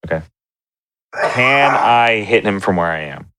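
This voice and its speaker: Deep-voiced man gaming, noisy recording. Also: expressive, unhurried. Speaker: tabletop game player. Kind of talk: casual game-table chat.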